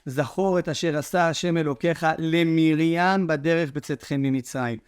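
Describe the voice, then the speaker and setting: A man's voice: on the high side, fairly even intonation, moderate pace, smooth, dry recording, clean studio sound. A man speaking as a rabbi, giving a short explanatory Torah teaching with emphatic repetition.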